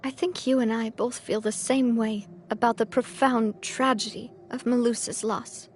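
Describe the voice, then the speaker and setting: A woman's voice: a little high, fairly even intonation, moderate pace, slight background noise, natural voice. A woman voicing a game character, thoughtful and questioning. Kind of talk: game dialogue.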